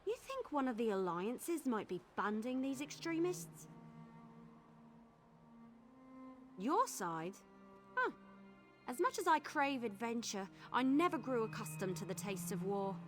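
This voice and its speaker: Game companion scout, confident n wry, kinda high voice, swoopy delivery. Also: female voice, sing-song, normal speed. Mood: wary, conversational. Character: adventurous, stubborn, wry woman scout companion. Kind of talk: video game character acting. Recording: game music under the voice.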